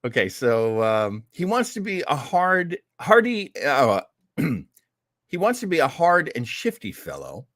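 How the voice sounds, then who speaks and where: Animated, rambling male radio-show host. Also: fairly high pitch, slow pace, dry recording, studio quality. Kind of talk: casual hosting of old-time radio show.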